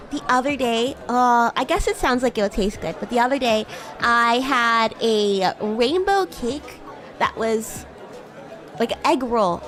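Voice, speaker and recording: A woman's voice: a little high, fairly bright tone. A woman streamer voicing a bratty, haughty yet sweet young demon classmate in improvised, teasing roleplay chat. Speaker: streamer, VTuber host.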